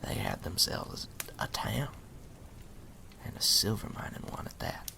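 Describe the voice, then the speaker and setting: Guy doing a spooky animal storyteller for asmr, super crackly gravel voice, real slow and hushed, folksy and ominous. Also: huge pitch swings, exaggerated voice. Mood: ominous storytelling. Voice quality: very deep, gravelly, breathy half-whisper.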